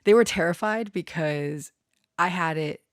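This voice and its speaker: A woman's voice: fairly low pitch, a little slow, no echo, clean studio sound. A woman rambling casually to her online followers, giggly and prone to swearing. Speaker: online storyteller and channeler.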